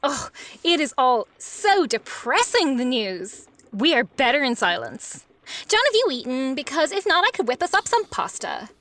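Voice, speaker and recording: A woman playing a chatty, fussing wife and mother in a radio play, breezy and expressive. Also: high voice, moderate pace, slight background noise.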